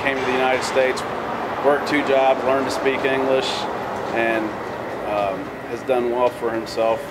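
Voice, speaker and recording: A man's voice: medium pitch, rough recording. A man born in Colombia telling his family's story steadily and straightforwardly in an interview. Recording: loud music bed. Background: loud music.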